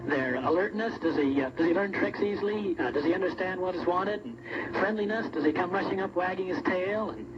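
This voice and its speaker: A young American man with a notably high voice answers interview questions by telephone. The old radio recording is muffled and clearly noisy. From Salt Lake City, United States. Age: young adult. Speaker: radio show contestant reporting by telephone.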